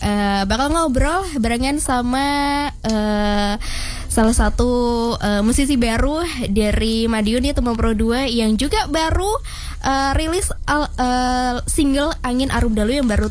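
High-voiced young woman radio host. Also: a little slow. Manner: giggly, informal, friendly. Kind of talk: chatty music radio show interview. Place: radio studio.

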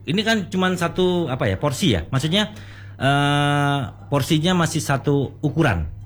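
A man's voice: on the high side, expressive, normal speed. Hesitant man hosting a chat. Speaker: host interviewing food business owners. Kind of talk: casual interview chat.

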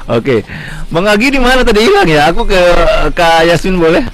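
A man's voice: sits high, big pitch swings, normal speed, fairly bright tone. Upbeat man hosting a radio show. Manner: upbeat, repeats "oke, siap" often. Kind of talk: radio talk show interview. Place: radio studio.

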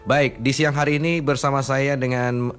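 A man hosting a relaxed radio program, chatting easily with his co-host and sending greetings to listeners by name. His voice sits at an ordinary middle pitch for a man. Soft music plays faintly behind him in the studio. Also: moderate pace. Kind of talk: casual radio chat.

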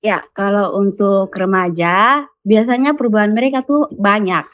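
A woman who mentors children speaks casually as a radio guest, halting and restarting as she goes. The sound is dull and lo-fi, dry and close. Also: mid-range voice, dry recording. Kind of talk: radio talk show guest.

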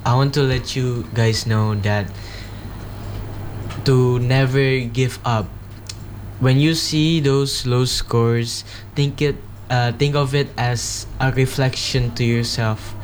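A teenage student with an Indonesian accent, giving classmates an earnest, halting pep talk and correcting himself as he goes. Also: medium pitch, steady tone, low-quality recording. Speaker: student guest. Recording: background ambience noise.

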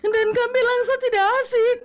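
A woman's voice: very high voice, flat delivery, fairly quick, faint music behind. A woman playing a tearful, wounded young woman. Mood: hurt. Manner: tearful, earnest confronting. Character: hurt young woman teased by office friends. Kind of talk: comedy skit acting.

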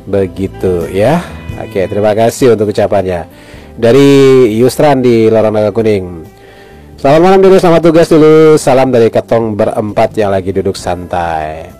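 Deep-voiced, jovial Ambonese radio host man. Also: animated, normal speed, mellow. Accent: Ambonese Malay. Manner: jovial, often chuckling. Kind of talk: late-night radio call-in chat, reading listener messages. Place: radio studio. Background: music in the background.

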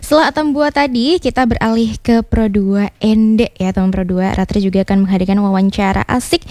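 A woman radio announcer introducing the next segment in a brisk, friendly way. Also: mid-range voice, steady tone, a little mellow, no echo, clean studio sound.

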